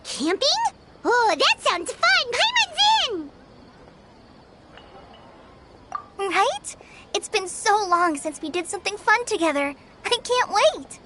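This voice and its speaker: A woman voicing a tiny, excitable fairy companion girl in a video game, with a squeaky, childlike, perky delivery. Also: a little slow, background noise, full character voice. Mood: excited. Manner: perky, exclamatory.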